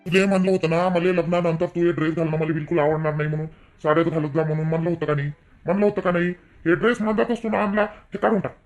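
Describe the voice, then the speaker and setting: A man's voice: a little high, steady tone, fast, faint music behind, lightly acted. A man voicing a stern, controlling young village husband in a cartoon, giving reproachful orders in a Vidarbha Marathi accent. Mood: stern, insistent. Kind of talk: cartoon voice acting.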